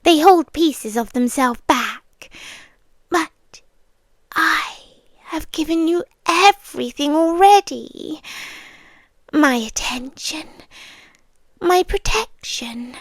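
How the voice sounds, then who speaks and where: A British woman playing a possessive, lovesick vampire girlfriend in an intimate ASMR roleplay, speaking softly and breathily, often slipping into whispers, with a coaxing, lingering delivery. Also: sits high, animated, slow, pauses often, no room sound. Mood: tender, coaxing. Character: possessive, lovesick immortal vampire girlfriend, tender yet menacing.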